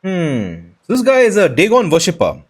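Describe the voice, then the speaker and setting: A man's voice: sits high, huge pitch swings, moderate pace, a little hiss or hum. A man hosting a casual let's play, chatty and thinking aloud as he goes. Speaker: gaming video host. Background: soft mallet game music.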